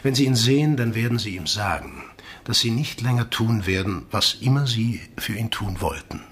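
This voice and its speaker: A man playing the menacing chief of a secretive agency in a radio play, with a husky, breathy voice and a measured, politely condescending manner. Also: lowish voice, lively intonation, a bit bright. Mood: quietly threatening.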